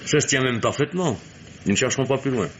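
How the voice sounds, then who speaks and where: An actor playing a shrewd, self-assured investigator in an old French radio mystery, with a measured, probing, faintly ironic delivery. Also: male voice, medium pitch, fairly bright tone, natural voice. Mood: methodical, assured. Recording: dull, hissy old radio recording.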